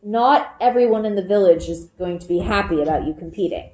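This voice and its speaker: A woman voicing a weary, gentle, cautious father in a children's storybook, with measured, deliberate delivery. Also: mid-range voice, natural voice. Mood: resigned. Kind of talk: children's storybook read-aloud. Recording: roomy indoor echo.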